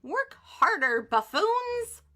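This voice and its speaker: A woman voicing a bossy, snappish female witch in a storybook read-aloud, scolding and shrill. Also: big pitch swings, takes it slowly, vocal fry, bright, forceful tone, full character voice.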